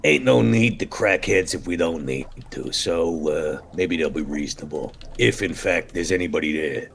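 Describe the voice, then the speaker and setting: Man playing big gruff vampire, very low gravelly voice, streetwise slang, tabletop roleplay, noisy background. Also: lively intonation, normal speed, a bit bright, exaggerated voice. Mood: streetwise, wary. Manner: colloquial, tough-guy slang.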